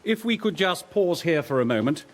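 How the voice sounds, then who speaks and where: An actor playing a smooth, officious tour guide in a radio drama, with a formal, flowery way of announcing. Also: male voice, on the high side, big pitch swings, normal speed. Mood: grand, declaiming. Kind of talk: British radio drama acting.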